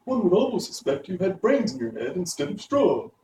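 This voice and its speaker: A man's voice: high voice, sing-song, a little fast, dark tone, reverberant, distant mic, slight background noise, pitched above the performer's own voice, low-quality recording. A man voicing a big cowardly lion from a storybook, timid and hesitant but willing. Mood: nervous, willing.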